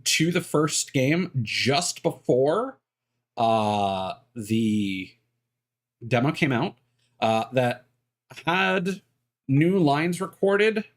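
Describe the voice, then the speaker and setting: Male streamer, unhurried, filler-heavy casual commentary at a desk mic. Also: medium pitch, lively intonation. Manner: rambling, many fillers.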